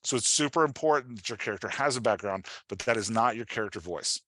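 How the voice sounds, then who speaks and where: A man giving an informal workshop as a writing coach, talking in an animated, conversational way and often checking in with his listeners. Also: medium pitch, fast talker, no echo. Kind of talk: informal online workshop presentation.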